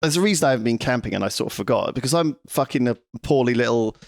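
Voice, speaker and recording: A man co-hosting a podcast, telling a story in a halting, self-deprecating way. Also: mid-range voice, lively intonation, vocal fry, no room sound, studio quality. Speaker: podcast co-host. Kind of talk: casual chat.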